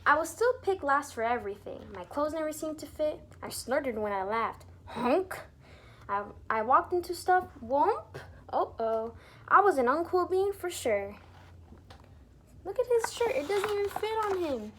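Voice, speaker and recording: High, very animated woman reading to kids. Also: measured pace. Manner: expressive, teacherly, asks listeners questions. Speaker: children's storybook reader and teacher. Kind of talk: animated read-aloud for young children with asides explaining words.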